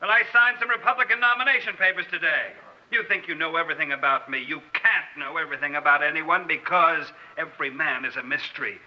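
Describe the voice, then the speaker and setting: A defiant man on the edge of derangement played by a male actor, with a very high, insistent, overwrought voice. The acting is old-time radio drama, and the recording is dull and narrow. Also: quick pace, close to an everyday voice. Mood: defiant, challenging. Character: agitated, defiant man, almost deranged, challenging a scientist.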